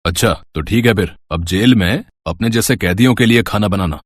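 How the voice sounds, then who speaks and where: A man's voice: on the low side, moderate pace, a little hiss or hum, close to an everyday voice. Man playing a harsh, commanding police inspector. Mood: accusing. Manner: commanding, sneering.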